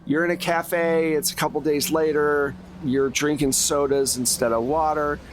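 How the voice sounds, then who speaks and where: A man running a tabletop horror game, improvising the narration in short phrases with pauses between them. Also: a little high, steady tone, a little hiss or hum. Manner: pausing, piecing out sentences in short phrases. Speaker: game master of a tabletop actual play. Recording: music playing under the voice. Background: music.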